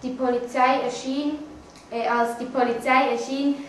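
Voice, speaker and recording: A young woman with a Swiss German accent reads scripted news in a halting, stumbling way. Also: high-pitched, flat delivery. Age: teenager or young adult. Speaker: student news reader. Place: classroom, indoors. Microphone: camera mic at a distance. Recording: echoey room, distant mic, faint noise.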